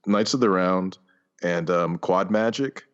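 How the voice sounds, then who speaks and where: A man with a deep, low voice chats casually as a podcast co-host. He speaks haltingly, often repeating words. The audio is a little dull. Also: smooth. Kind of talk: casual gaming chat. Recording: slightly dull, muffled audio.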